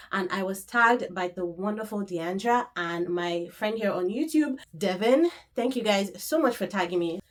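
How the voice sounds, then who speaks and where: A woman in her thirties, sniffly with a cold, chatting casually to the camera about her weekend and bags. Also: mid-range voice. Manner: chatty, gesturing with her hands. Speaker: vlogger. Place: room in front of a handbag shelf. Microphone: camera in front.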